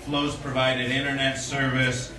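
A man's voice: medium pitch, monotone, a little slow, a crowd in the background. A man in his forties giving an earnest formal speech at a ceremony, with frequent fillers and restarts, into a stand microphone. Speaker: corporate speaker at a donation ceremony. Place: indoor room at an event. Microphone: stand microphone at a podium. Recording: roomy, distant-miked event audio with noise.

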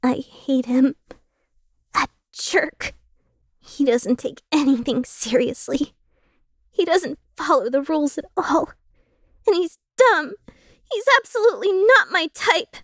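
A woman's voice: high voice, expressive, slow pace, long pauses, fairly bright tone, no room sound, clean studio sound, exaggerated voice. A woman playing a prim, serious teenage girl from a school discipline committee in an anime fandub. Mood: scornful. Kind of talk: anime fandub radio play acting.

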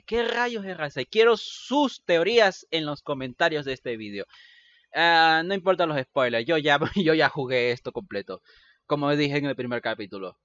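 A man's voice: a little high, fairly bright tone, lo-fi sound. A man giving emphatic commentary to viewers during a gameplay video, repeating his questions with mild swearing. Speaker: gameplay narrator and fandub host.

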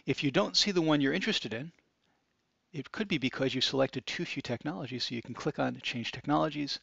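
Gravelly man demoing software. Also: mid-range voice, dry recording. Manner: upbeat, explanatory, walks through clicks step by step. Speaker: product demo presenter. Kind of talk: screencast walkthrough narration. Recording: dry, slightly dull sound.

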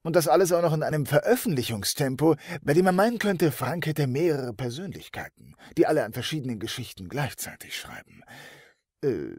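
Casting: expressive male speaker, husky and highly animated, theatrical delivery of a greeting. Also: brisk, no echo, studio quality. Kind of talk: performed congratulatory message.

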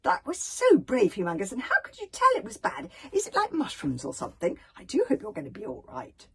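A woman's voice: on the high side, wildly swooping pitch, fairly quick, gravelly, fairly bright tone, breathy edge, pitched above the performer's own voice, heavily acted. A woman voicing an earnest, anxious young Viking boy who chatters eagerly and admiringly.

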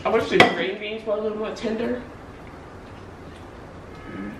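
A woman's voice: medium pitch, normal speed. Woman chatting over a noisy family dinner. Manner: chatty, explaining dishes between bites. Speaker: vlog host and mother. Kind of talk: casual family dinner chat. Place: dining room at home, family at table. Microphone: far camera across table. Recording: echoey room, distant mic, table clatter.

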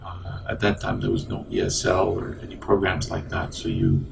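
Older Egyptian-born man, gravelly voice. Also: deep voice, sing-song, normal speed, distant mic, noisy background. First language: Arabic. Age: 60s. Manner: hesitant, many ums and restarts. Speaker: interviewee, engineering professor. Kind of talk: oral history interview. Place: small indoor office. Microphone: lapel mic.

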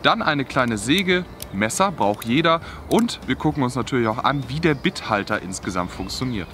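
A man's voice: medium pitch, animated, normal speed, background noise. A young man giving a casual hands-on review of outdoor gear, outdoors in a bare forest, thinking aloud as he tries things out.

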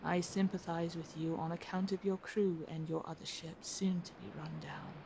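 A woman's voice: fairly low pitch, fairly even intonation, normal speed, noisy background, natural voice. Woman acting a defiant, wry captive lady admiral. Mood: grave, measured. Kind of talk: ASMR roleplay acting.

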